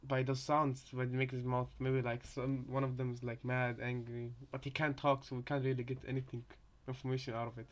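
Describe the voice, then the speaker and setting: A man with a medium-pitched voice gives casual commentary. He rambles and hesitates, working out his thoughts aloud as he reacts to game voice lines. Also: level tone, brisk, hardly any pauses.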